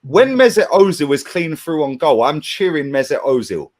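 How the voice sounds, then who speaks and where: A man's voice: a little high, very animated, moderate pace, a little mellow. A man, arguing heatedly about football and swearing as he talks over others. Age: 30s. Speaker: fan panelist. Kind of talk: heated argument.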